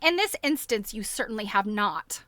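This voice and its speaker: A woman's voice: mid-range voice, animated, moderate pace, a bit bright, no echo, lightly acted. A woman voicing a dignified, offended husband in a story reading, stiff, formal and indignant. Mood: stiff denial.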